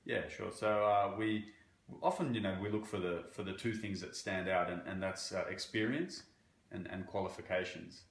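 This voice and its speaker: A man from Croatia working as a banking relationship manager, answering interview questions in a halting way with many fillers. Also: low voice, steady tone, vocal fry, reverberant. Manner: halting, with frequent fillers and restarts.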